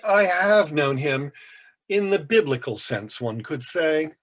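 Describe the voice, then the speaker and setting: A flamboyant, catty older man as a male author reads him aloud, holding court in a camp, theatrical way. The voice is husky and sits on the high side, with grand, drawn-out emphasis on choice words. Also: animated, a bit bright, exaggerated voice. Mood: sly.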